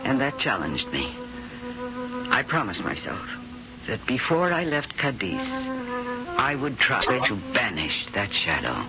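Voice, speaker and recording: An actress playing a worldly, tender older woman star in 1970s radio drama, with a husky low voice and theatrical, deliberate phrasing. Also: big pitch swings, exaggerated voice. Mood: wistful. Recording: dull old radio broadcast with loud music.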